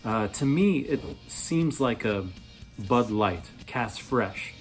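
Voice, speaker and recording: A man in his thirties reviewing a product in a casual, playful way, rambling as he thinks aloud, with a slightly husky voice. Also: medium pitch, lively intonation, slow pace, music in the background. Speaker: beer reviewer, vlog host.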